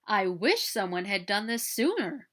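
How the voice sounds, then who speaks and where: A woman's voice: medium pitch, big pitch swings, unhurried, a bit bright. A woman voicing a kindly, encouraging old lady in a storybook, speaking with emphasis. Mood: proud.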